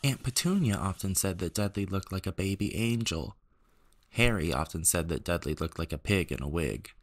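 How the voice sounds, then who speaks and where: A man's voice: deep voice, animated, moderate pace. A man reading a story aloud as narrator, in scripted storybook style. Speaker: narrator, amateur audiobook reader.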